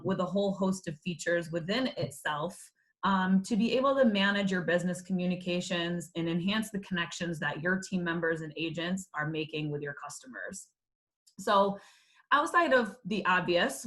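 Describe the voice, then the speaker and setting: A woman's voice: lowish voice, a bit bright. A woman presenting in a webinar, explaining features in a business-like way.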